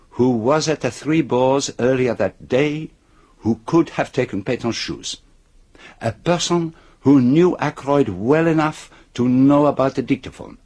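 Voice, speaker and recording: An actor playing a shrewd, methodical detective in a radio drama, speaking deliberately and theatrically as he builds his case point by point. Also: mid-range voice, a little mellow. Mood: building accusation. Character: shrewd, methodical male detective unmasking a killer.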